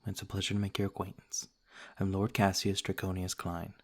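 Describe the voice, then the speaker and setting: A man playing a courteous, cautious noble vampire lord in an ASMR roleplay, speaking in a formal, gentle, measured way. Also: sits low, flat delivery. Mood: calm, guarded courtesy.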